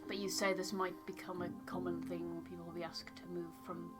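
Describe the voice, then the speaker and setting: A woman's voice: fairly low pitch, little pitch movement, brisk, over loud music. A woman co-hosting a gaming playthrough in casual chat. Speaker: playthrough co-host and voice reader.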